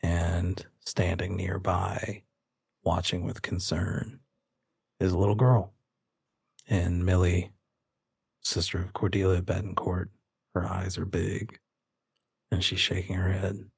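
A man running a tabletop horror game as game master, narrating dramatically with suspenseful, deliberate phrasing. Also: low voice, level tone, vocal fry, breathy edge, no room sound. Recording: music bed under the voice.

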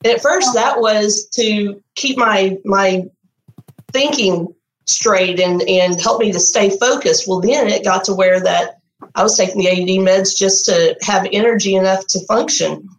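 A woman's voice: mid-range voice, unhurried, reverberant, low-quality recording. A woman in her forties with a Texan accent, a guest sharing her health story in an earnest, candid way. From Texas. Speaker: guest, program graduate. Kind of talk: personal testimony.

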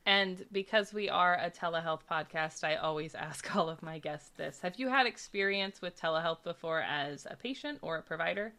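Measured woman hosting a podcast. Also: on the low side, pushed and bright. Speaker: podcast host. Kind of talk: podcast interview.

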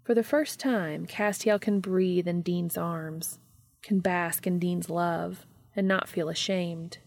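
A woman with a slightly creaky voice reading in a scripted style as a podcast narrator and host. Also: on the low side, fairly even intonation, slow pace, no room sound.

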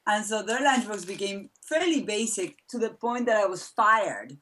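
A woman's voice: mid-range voice, lively intonation, moderate pace, fairly bright tone, far from the mic. A middle-aged woman from Argentina, a mother of four, chatting as an interview guest with frequent laughs and fillers. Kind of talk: casual interview chat.

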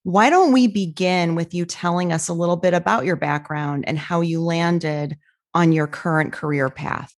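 Female podcast host, measured formal delivery, slightly low pitch. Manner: reads a long formal bio in a measured way. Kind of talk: scripted guest introduction.

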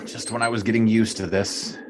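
An actor playing a skeptical, uneasy 1920s sports writer in an audio drama, asking questions in a halting, wry way. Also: male voice, on the low side, level tone, background noise, lightly acted. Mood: curious, wry.

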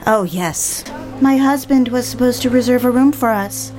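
A woman's voice: fairly high pitch, moderate pace, darkish tone, faint music behind, natural voice. An actress playing an anxious, lovelorn woman with wounded pride in a period melodrama, genteel and dramatic. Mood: flustered, polite. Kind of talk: period melodrama acting with first-person narration.

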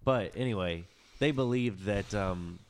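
A man hosting a podcast, chatting in a laid-back, musing way on a studio mic and trailing off into low mutters. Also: measured pace, a little hiss or hum. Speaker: podcast host. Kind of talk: casual podcast conversation.

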